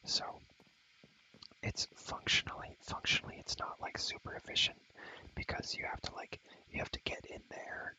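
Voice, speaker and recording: Whispering young man, bright and hissy. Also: normal speed, low-quality recording. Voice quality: breathy, unvoiced whisper. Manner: repeats phrases softly, slangy. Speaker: video creator showing a game world. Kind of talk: whispered ASMR game tour, casual chat.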